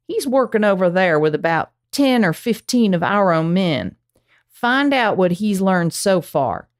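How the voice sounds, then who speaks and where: A woman acts out a ruthless young female outlaw boss in a Western radio drama. She speaks slowly in a commanding American cowboy drawl, swinging widely in pitch as she threatens her gang. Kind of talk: Western radio play acting.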